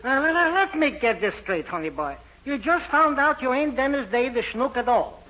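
A man's voice: very high voice, animated, moderate pace, sharp tone, full character voice. A man acting a jovial, dim-witted, wisecracking husband in colloquial 1940s radio comedy style. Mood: amused, incredulous. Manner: jokey, colloquial, chuckling. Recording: noisy, muffled 1940s radio recording.

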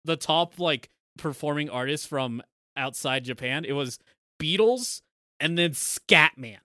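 A man co-hosting a podcast, chatting casually about a film in a halting way that often trails off. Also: on the high side, sharp tone, no echo, studio quality. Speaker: podcast co-host.

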